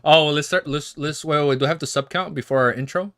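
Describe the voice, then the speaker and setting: Young man, twenties, streamer, bright forceful voice, slightly high, dry close mic, rambling, swears. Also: dry recording. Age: 20s. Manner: rambling, swearing, quick to laugh. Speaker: livestream host. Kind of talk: casual livestream chat and reading aloud.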